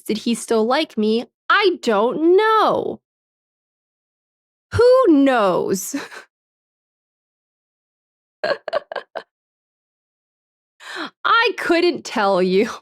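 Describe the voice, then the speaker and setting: A woman's voice: very high voice, no room sound. Laid-back woman streamer with a dry sound. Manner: frank and chatty, swears often, laughs a lot, fills with "like" and "man". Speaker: livestreamer. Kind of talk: casual chat, reading and answering viewer comments.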